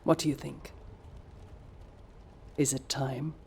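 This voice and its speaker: A woman's voice: a bass voice, flat delivery, slow, creaky, a little mellow, slight background noise, full character voice. An actress playing a proud, guilt-ridden female space mission commander with a clipped, formal manner in an audio drama. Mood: subdued, sorry. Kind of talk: naturalistic audio drama acting.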